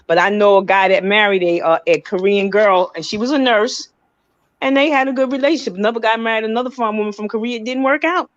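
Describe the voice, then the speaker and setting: A man's voice: high-pitched, fast talker, a bit bright, smooth, no echo, dull audio. A man making short, matter-of-fact remarks in a casual chat.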